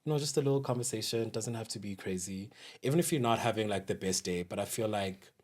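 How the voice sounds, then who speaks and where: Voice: mid-range voice, fast talker, a little mellow. A podcast guest with a South African accent, chatting casually and conversationally in an interview.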